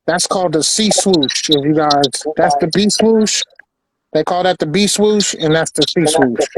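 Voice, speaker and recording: American male collector, fairly high-pitched, chatty and informal, doubles back on phrases. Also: a little slow, breathy edge, dry recording, rough recording. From Los Angeles, United States. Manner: repeats phrases, informal slang. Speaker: sneaker collector and designer presenting his pairs. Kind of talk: casual show-and-tell chat.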